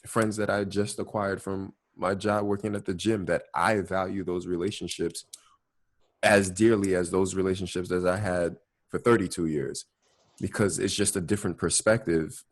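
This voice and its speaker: A man's voice: low voice, fairly even intonation, normal speed. A young man hosting a casual family talk, reflecting thoughtfully with many "you know"s. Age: young adult. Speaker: host.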